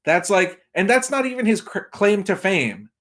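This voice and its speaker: A man with a somewhat high voice talks as a guest on a casual podcast. His delivery is conversational and emphatic, branching into asides as he makes his points. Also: very animated, normal speed, fairly bright tone. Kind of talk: casual gaming podcast discussion.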